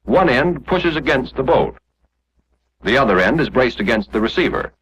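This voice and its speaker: A man's voice: mid-range voice, expressive, normal speed, a bit bright, slightly rough. A man narrating a 1940s instructional film, explaining step by step in a scripted, didactic way. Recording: muffled, dull 1945 film soundtrack.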